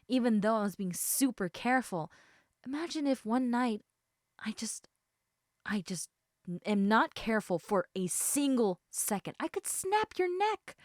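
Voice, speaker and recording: A woman playing a caring, slightly snarky snake woman in an intimate audio roleplay, speaking in a soft, hesitant conversational murmur that dips into whispers. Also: fairly high pitch, no room sound, studio quality.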